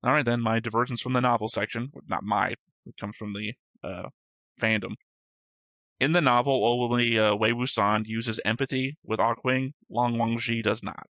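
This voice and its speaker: A man's voice: mid-range voice, steady tone, normal speed, a bit bright, dry recording. A man hosting a reaction show, reading notes aloud in casual chat and often correcting himself.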